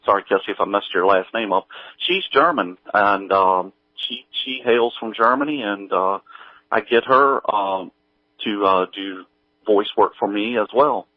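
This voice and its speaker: Middle-aged man, interview guest, hesitant and conversational, lower register, band-limited recording. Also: no room sound, dull audio. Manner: halting, hesitant delivery full of pauses. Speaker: guest, audio drama creator. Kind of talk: casual interview chat.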